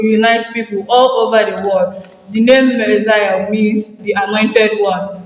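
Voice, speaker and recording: A young student with a Nigerian accent reading scripted religious narration. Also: medium pitch. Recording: echoey hall, distant mic, faint music.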